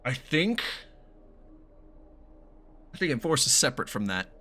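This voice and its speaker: Casting: male game streamer, lively swooping intonation, bright forceful tone, blunt and sweary, faint music behind. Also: mid-range voice, unhurried. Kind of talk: casual gameplay reaction commentary.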